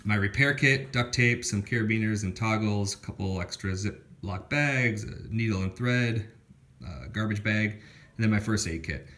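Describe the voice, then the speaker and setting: Young guy going thru his hiking gear at home, kinda low voice, lots of uhs and ums. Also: moderate pace, a bit bright. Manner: casual listing with many fillers and restarts. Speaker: hiker showing his gear. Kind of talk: casual gear walkthrough voice-over. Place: indoors at home, filming gear on a floor.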